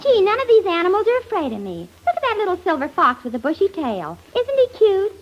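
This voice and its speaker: Naive young princess: very high, lilting, gushing and plaintive, muffled old radio with music. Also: female voice, huge pitch swings, quick pace, background noise, heavily acted. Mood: wide-eyed. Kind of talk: 1930s radio comedy parody acting. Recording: muffled 1930s radio broadcast over music.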